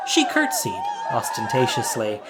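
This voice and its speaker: A man narrates an audio drama in a dramatic storytelling style. His pitch moves expressively up and down as he reads the scripted action. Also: huge pitch swings, a little slow, shouting, a loud crowd, heavy background noise.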